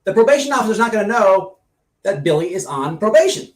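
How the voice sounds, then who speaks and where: A man's voice: sits high, very animated, fast talker. A man giving blunt, casual, opinionated commentary, emphatic and repeating his phrases as he explains with examples. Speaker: online streamer giving commentary. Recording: roomy, distant mic with faint noise.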